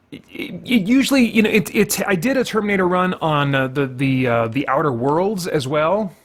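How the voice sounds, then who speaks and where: Higher-pitched man thinking aloud over a game. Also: fairly bright tone. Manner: reflective, explanatory, thinking aloud. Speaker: host of a gaming video series. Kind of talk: casual gameplay commentary.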